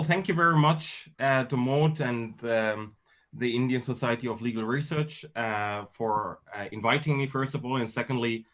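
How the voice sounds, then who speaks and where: A man from Germany speaking as a guest lecturer on a video call, with formal wording and a halting, hesitant delivery. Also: medium pitch, a bit bright. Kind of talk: semi-formal online lecture.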